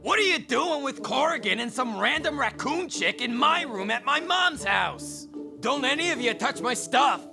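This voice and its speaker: An excitable, blunt male cartoon critter with a wildly swinging pitch. He is theatrical and easily outraged, a comic sidekick in an audio drama, over clear music. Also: normal speed, heavily acted. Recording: music behind the voice.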